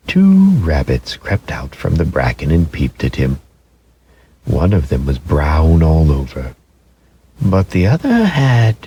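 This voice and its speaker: A man narrating a children's story as a dramatic reading, expressive and measured, with deliberate pauses. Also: sits low, slight background noise.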